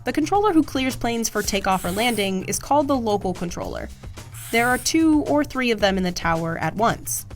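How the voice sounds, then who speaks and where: Lively woman narrator over faint music. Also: on the high side, moderate pace. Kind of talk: scripted documentary narration. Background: background music.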